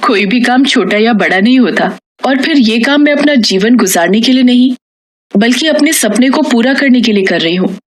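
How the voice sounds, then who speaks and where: A woman's voice: a little high, expressive, moderate pace, natural voice. A woman playing a poor, resolute young housemaid in a moral story, speaking earnestly. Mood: proud, resolute. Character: poor, determined young housemaid with a collector's dream.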